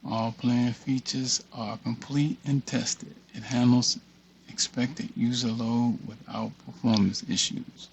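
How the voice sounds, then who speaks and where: A man giving a casual screen-share walkthrough, thinking aloud in a halting mutter and reading text off the screen. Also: mid-range voice, fairly even intonation, creaky, a little hiss or hum. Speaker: tutorial presenter. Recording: dull, muffled lo-fi audio.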